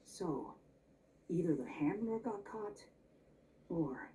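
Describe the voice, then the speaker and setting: A woman's voice: on the low side, lively intonation, takes it slowly, dark tone. A woman voicing a blunt, brooding young loner in a video game, terse and dry but grudgingly helpful. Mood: suspicious. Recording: distant, noisy captured game audio.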